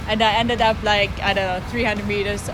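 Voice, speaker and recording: A woman's voice: medium pitch, little pitch movement, fairly quick, over music, rough recording. A woman in her twenties with a German accent, telling stories casually in an interview. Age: 20s. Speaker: interviewee, kitesurfer.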